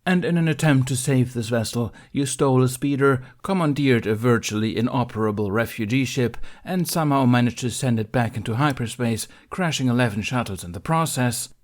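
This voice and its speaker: A man's voice: medium pitch, fairly quick, few pauses, voice pushed up, natural voice. A man voicing a stern, exasperated council master in an audiobook, formally interrogating in a businesslike, accusing way. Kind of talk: audiobook character dialogue.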